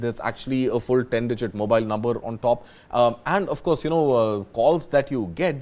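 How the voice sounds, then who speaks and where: Higher-pitched Indian man giving news commentary. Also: a little mellow. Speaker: news panelist.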